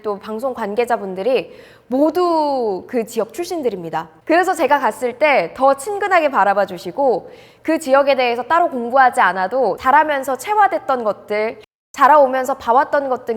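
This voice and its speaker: A woman's voice: high-pitched, in a large hall. A woman broadcaster giving career advice straight to the viewers in a polite, earnest way. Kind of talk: direct-to-camera advice talk.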